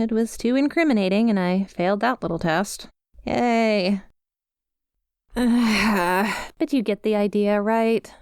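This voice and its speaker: A woman's voice: medium pitch, a little mellow, clean tone, no echo, studio quality, natural voice. A woman playing a bubbly, inept young yandere schoolgirl in an ASMR roleplay, chatty, melodramatic and wheedling as she talks to her crush. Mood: sheepish, chatty.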